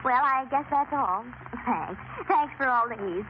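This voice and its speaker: An actress playing a scatterbrained, gushing housewife in a 1930s radio comedy sketch, flustered and effusive. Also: female voice, high voice, expressive, unhurried. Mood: flustered, grateful. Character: scatterbrained, gushing housewife borrowing things from her neighbour. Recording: tinny, narrow, hissy 1930s radio recording.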